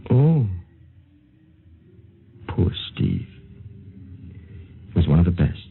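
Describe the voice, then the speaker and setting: A gravelly, brisk military doctor. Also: male voice, low voice, huge pitch swings, phone-quality sound, slight background noise, full character voice. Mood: musing. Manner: brisk, matter-of-fact. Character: practical male military doctor. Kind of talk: 1950s radio drama acting. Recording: thin 1950s radio transcription.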